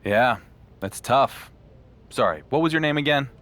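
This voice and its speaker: An actor playing a confident, sarcastic champion wrestler in a video game, with a dry, dismissive manner. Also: male voice, mid-range voice, lively intonation, unhurried, fairly bright tone. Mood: cool, confident. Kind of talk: scripted video game cutscene acting.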